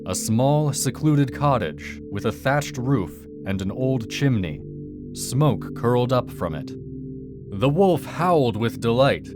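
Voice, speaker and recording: A man reading a dark fairy tale as a dramatic, scripted storyteller. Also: on the low side. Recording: music behind the voice.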